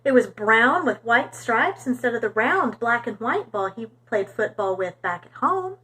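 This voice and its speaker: Woman, children's storytime narrator, highish pitch, very lively intonation, expressive reading. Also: a little slow. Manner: animated, clear storytelling for young children.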